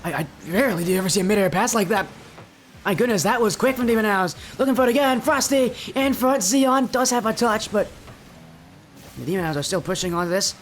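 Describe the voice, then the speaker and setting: High-voiced excitable young esports caster. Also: male voice, slight background noise. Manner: excitable, yells in high-pitched bursts at big plays. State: slightly stuffy from allergies. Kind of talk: solo play-by-play commentary.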